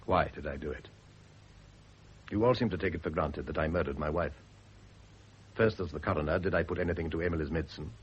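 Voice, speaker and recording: An actor playing a brooding, philosophical, self-absorbed husband in a vintage radio drama, speaking in a literary, declamatory way. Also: male voice, rumbling low, fast, pauses often, breathy edge. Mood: indignant. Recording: dull, hissy vintage radio recording.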